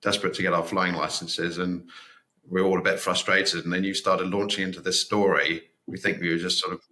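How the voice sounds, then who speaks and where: A man's voice: sits low, flat delivery, a little fast, bright, forceful tone. A man in his forties, a pilot hosting a casual interview, talking in a friendly, rambling way. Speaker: podcast host, pilot.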